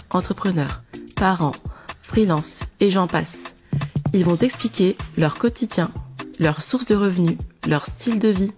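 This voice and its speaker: A woman's voice: lowish voice, a little mellow. A woman in her thirties hosting a podcast, reading a scripted intro and then interviewing her guest in a friendly, enthusiastic way.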